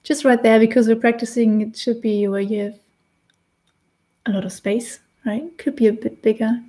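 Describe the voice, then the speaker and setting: German-accented woman in her thirties, warm and slightly high, relaxed chatty live-stream teacher. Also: normal speed. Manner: chatty, laughs often, restarts while painting. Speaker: face painting tutor. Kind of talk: casual live-stream tutorial. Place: small indoor room with decorated wall.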